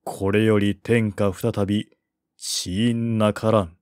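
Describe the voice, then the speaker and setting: A man's voice: on the low side, flat delivery, takes it slowly, a little mellow, smooth, dry recording, clean studio sound. A man voicing a composed, grieving strategist reciting a solemn lament in a historical novel. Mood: mournful.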